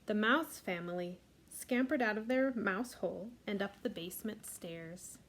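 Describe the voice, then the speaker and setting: A woman reading a picture book aloud for a library storytime, adding gentle asides about the pictures. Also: medium pitch, unhurried.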